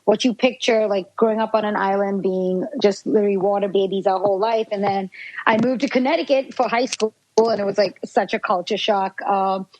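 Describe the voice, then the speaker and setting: A woman's voice: medium pitch, steady tone, brisk, a little mellow, low-quality recording. A woman in her thirties from Sri Lanka with a Sri Lankan accent, chatting as a podcast guest, laughing often and saying "like" a lot. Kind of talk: casual interview.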